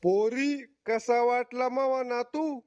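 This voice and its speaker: Cartoon comedy: blunt old village grandfather played by a man, unhurried mocking drawl, dry muffled recording. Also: very high-pitched, fairly even intonation, darkish tone, no room sound. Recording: dull, lo-fi cartoon audio.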